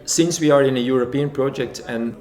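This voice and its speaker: A man's voice: mid-range voice, brisk, slight background noise. A man who coordinates the project's pilot schemes, explaining them in a documentary interview. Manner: explanatory, with small restarts. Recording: echoey room with loud guitar music.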